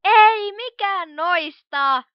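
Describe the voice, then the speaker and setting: A woman's voice: very high-pitched, animated, no echo. A woman voicing a studious, cautious young pony magician in a cartoon fan dub, explaining things in a precise, earnest way. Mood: cautious, firm. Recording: dull lo-fi home recording.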